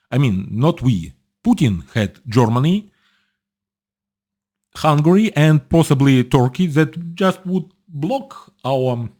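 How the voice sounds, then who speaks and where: A middle-aged man from Ukraine whose first language is Russian, giving blunt, dismissive unscripted commentary in halting English, often stopping to search for words. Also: medium pitch, warm tone.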